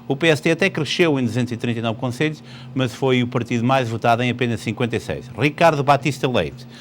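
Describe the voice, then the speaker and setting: A man's voice: medium pitch, fast, slight background noise. A man reporting election news and putting questions to politicians in a plain, informative way.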